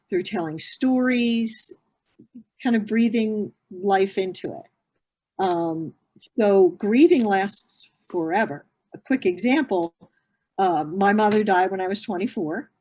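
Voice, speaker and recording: A woman's voice: mid-range voice, dark tone. Earnest woman in her fifties explaining. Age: 50s. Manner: earnest, explanatory, with frequent fillers and restarts. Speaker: grief therapist. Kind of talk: conversational interview answers.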